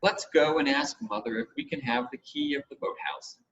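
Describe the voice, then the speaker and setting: A man voicing an eager, commanding boy captain in a storybook, brisk and orderly. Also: high voice, big pitch swings, vocal fry, a bit bright, voice pushed up, exaggerated voice. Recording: muffled home recording with hiss.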